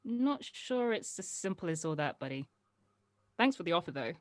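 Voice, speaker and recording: A woman plays a grown-up chatting with a young child in an audio drama read. The delivery is casual and teasing, with guarded edges. The pitch moves around freely and the sound is close and dry. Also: very animated, normal speed, no echo. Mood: wistful, guarded. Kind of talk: acted script table read.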